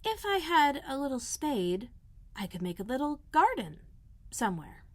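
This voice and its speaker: A woman's voice: high voice, very animated, normal speed, pitched above the performer's own voice. A woman voicing a curious, earnest young girl full of wonder in a storybook. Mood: hopeful, careful.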